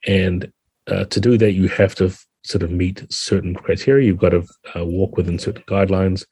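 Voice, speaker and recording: A man's voice: sits low, steady tone, fairly quick, mellow, breathy edge, no echo. A man talking conversationally as a guest game designer on a podcast, earnest and thoughtful.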